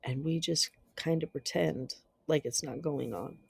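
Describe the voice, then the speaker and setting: A host giving a theatrical scripted narration in a solemn, deliberate and dramatic manner. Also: medium pitch, gravelly, husky, dry recording. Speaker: video host and storyteller.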